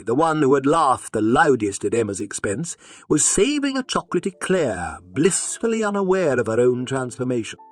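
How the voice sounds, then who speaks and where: Animated male storyteller with a husky edge. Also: mid-range voice. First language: English. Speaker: narrator. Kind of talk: scripted story narration.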